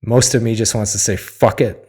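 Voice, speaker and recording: Man, slightly hoarse, lowish voice, weary, halting, confessional podcast host. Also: fairly even intonation, dark tone. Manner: halting, searching, with many restarts and fillers. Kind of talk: candid solo podcast monologue.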